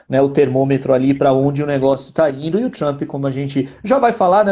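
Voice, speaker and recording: Man, Brazilian accent, fairly high voice, soft mellow tone, didactic political commentary, emphatic repetition. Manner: didactic, emphatic repetition with frequent tag questions.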